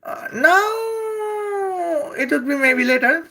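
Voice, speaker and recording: A speaker from Bangladesh who speaks English as an after Bengali, giving a rambling, halting comedic roast full of hesitations. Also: extremely high pitch, lively intonation, sharp tone. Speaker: video creator.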